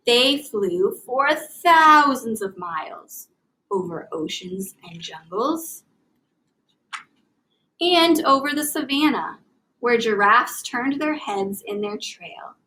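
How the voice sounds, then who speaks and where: A woman teaching young children in an expressive, carefully enunciated read-aloud style, speaking as an elementary reading teacher. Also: a little high, slow pace, pauses often, rough recording.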